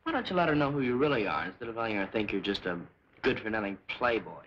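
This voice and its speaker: Fast-talking young sidekick. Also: male voice, fairly low pitch, animated, a little hiss or hum. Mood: chiding. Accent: American. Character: eager, candid young male crimefighting sidekick. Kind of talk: 1940s film serial acting. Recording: muffled 1940s film soundtrack.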